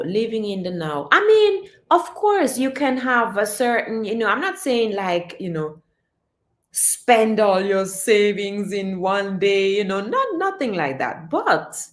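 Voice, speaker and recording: A woman chatting casually on a livestream, reading her chat and urging viewers to chase their dreams, animated and repeating herself often. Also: medium pitch, reverberant. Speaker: vlogger reading live chat.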